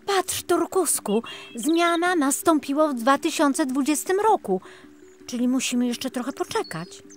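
A high, bubbly little girl. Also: expressive, measured pace, soft background music. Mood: excited. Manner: bubbly, wide-eyed. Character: excitable, cheerful eight-year-old girl. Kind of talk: children's audiobook acting.

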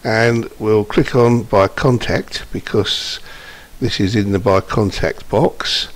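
A man narrating an informal software demonstration, explaining step by step in a slightly hesitant, unscripted way. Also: lowish voice, slow pace. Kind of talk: informal screencast tutorial narration. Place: indoors, voice-over for screen recording. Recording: steady background hiss.

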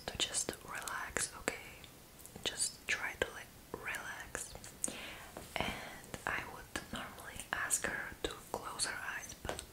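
Slow whispering young woman, ASMR. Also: low-quality recording. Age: 20s. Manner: soft, casual, unhurried whispering. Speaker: ASMR creator. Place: small room indoors.

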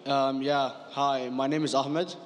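A man's voice: medium pitch, flat delivery, measured pace, lo-fi sound, a little hiss or hum. A young man asking a question at a floor microphone, hesitant and persistent. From Iraq. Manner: hesitant, filler-heavy, persistent. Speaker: audience questioner. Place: floor of a large convention hall. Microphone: floor stand microphone. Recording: long hall reverb, faint ambience.

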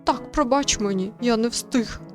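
A woman voicing a grieving father, a gentle, apologetic man speaking tenderly in a visual novel. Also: a little high, measured pace, over music, close to an everyday voice. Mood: regretful. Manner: tender, regretful. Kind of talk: visual novel read-aloud.

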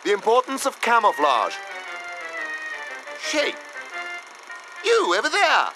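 Pompous army film narrator, very animated. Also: male voice, extremely high pitch, slow, music in the background, exaggerated voice, low-quality recording. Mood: instructive. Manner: crisp, dramatic, drily mocking. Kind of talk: parody wartime instructional film narration.

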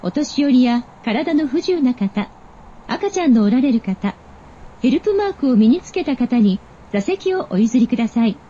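A woman's voice: high-pitched, expressive, normal speed, dark tone. A woman giving formal, polite scripted train announcements with careful diction. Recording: dull recording with train noise. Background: subway train ambience.

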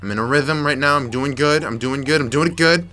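A young man commentating his gameplay, frustrated and muttering to himself with sudden yelps, slightly raspy from yelling. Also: on the high side, brisk. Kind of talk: let's play commentary. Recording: faint game music behind.

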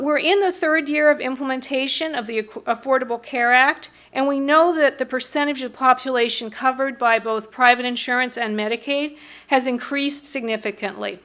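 Bright-voiced middle-aged American woman presenting. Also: high voice. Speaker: mental health board member presenting to city council. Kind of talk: prepared public presentation. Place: large council meeting room, indoors. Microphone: podium microphone.

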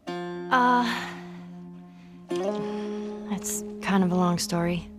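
A woman's voice: mid-range voice, drawn-out words, over music. Youthful teen girl. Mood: reserved. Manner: youthful, informal. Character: spirited, blunt teenage girl survivor. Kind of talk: cinematic game acting.

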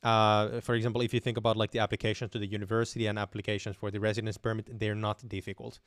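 A young man hosting a live stream Q&A, answering viewer questions in a relaxed way with many fillers, close to a studio mic at home. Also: fairly low pitch, very fast, no echo. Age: young adult. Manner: relaxed, many fillers and restarts, reads chat questions aloud. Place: dim home room with shelves. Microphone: studio mic on boom arm close to mouth.

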